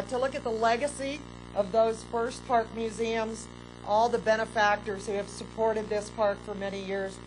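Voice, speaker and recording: A woman's voice: on the high side, background noise. Woman giving a gracious outdoor ceremony welcome. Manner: measured, gracious, with occasional fillers. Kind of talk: formal outdoor ceremony speech. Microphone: podium microphone with PA.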